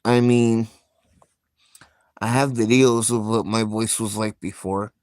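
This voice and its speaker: A man hosting a casual live stream, talking in a slow, soft-spoken, reflective way with frequent pauses. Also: on the low side, level tone, no room sound, studio quality. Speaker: livestream host.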